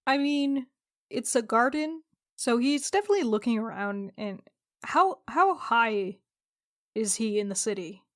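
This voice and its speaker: A woman with a slightly high voice plays a tabletop role-playing game. She talks casually and jokingly, improvising what her character does. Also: animated, a little slow, no room sound, studio quality. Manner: informal, joking.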